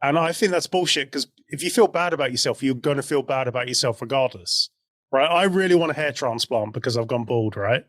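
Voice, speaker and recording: A man's voice: medium pitch, brisk, a bit bright, no echo. British man chatting, dry close recording. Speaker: podcast co-host. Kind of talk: casual chat.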